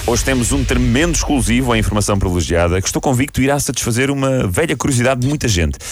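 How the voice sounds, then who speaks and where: A man hosting a comedy segment on a morning radio show, interviewing in a quick, mock-solemn way. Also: mid-range voice, lively intonation, faint music behind. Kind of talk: comic interview.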